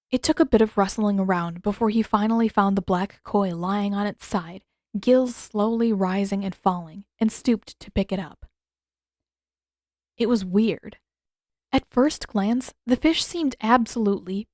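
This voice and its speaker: A woman's voice: mid-range voice, a little mellow, no echo, clean studio sound. A woman reading scripted fiction aloud as an audiobook narrator.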